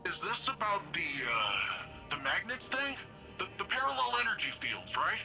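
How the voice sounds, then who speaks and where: An actor playing a curious, wry, informal young male sidekick in a science fiction game, talking in a casual, halting, questioning way. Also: sits high, wildly swooping pitch, gravelly, music in the background, heavily acted. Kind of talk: dramatic video game dialogue.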